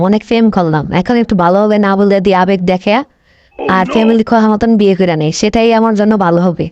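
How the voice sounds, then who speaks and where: Fast, high-pitched scheming cartoon girlfriend, played by a woman. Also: a little mellow, highs cut off, natural voice. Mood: fed up, calculating. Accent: Bangladeshi. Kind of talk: comic cartoon dialogue.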